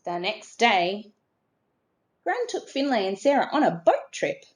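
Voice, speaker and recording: A woman narrating a children's storybook expressively, in a measured way with dramatic emphasis. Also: mid-range voice, sing-song. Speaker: storybook reader.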